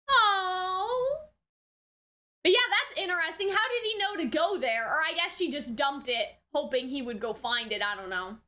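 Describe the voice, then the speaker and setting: A woman with a very high, bright voice hosts a reaction video. She talks in a casual, unscripted way, full of slang and exclamations. Her delivery is chatty and expressive, as she works out her thoughts aloud.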